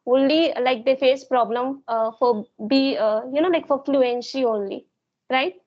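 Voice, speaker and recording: A woman's voice: high voice, measured pace, a little mellow, dull audio. A woman whose first language is Hindi, hesitantly sharing her experience in a casual English practice conversation, with many fillers. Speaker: guest sharing her learning journey.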